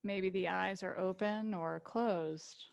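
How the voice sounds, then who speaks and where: A woman teaching yoga nidra, guiding a relaxation meditation with gentle invitations and long pauses. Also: medium pitch, steady tone, vocal fry.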